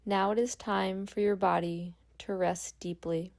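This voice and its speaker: Calm lady doing guided yoga nidra, really slow, lots of creak in the voice, gentle w long pauses. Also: mid-range voice. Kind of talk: guided meditation.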